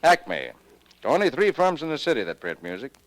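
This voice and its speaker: An actor playing a brusque, wry music publisher with slangy grumbling talk in a 1940s radio detective drama. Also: male voice, medium pitch, big pitch swings, normal speed, natural voice. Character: gruff, wisecracking music publisher, grumbling about sales.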